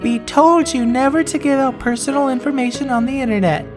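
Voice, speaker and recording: A man voicing an eerie, scolding mother in an unnatural falsetto for a horror story. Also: fairly quick, soft background music. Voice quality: strained falsetto.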